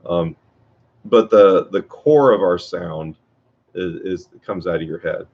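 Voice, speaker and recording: A man teaching guitar in a casual workshop talk, with a low voice and a rambling way of speaking, full of fillers and restarts. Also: slow, a little hiss or hum. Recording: dull lo-fi sound.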